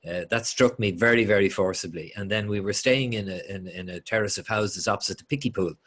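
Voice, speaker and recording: A man's voice: on the low side, fairly bright tone. A middle-aged Irish man interviewing an author in a friendly way, often stumbling over repeated words. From Ireland. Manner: halting, repeats words as he starts.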